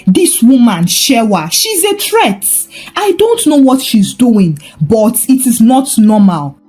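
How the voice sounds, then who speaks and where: Jealous female cook-shop rival for a folktale, played by a woman narrator: high, animated, suspicious and urgent. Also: slow, breathy edge, soft background music, exaggerated voice. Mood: jealous, alarmed. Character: jealous, threatened woman eatery owner, scheming.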